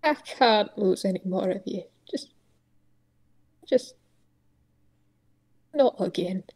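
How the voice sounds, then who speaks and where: A woman voicing an old male tortoise uncle, solemn, protective and stubborn, pleading in halting, repeated words. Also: medium pitch, expressive, a little mellow, husky. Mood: quiet grief. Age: older.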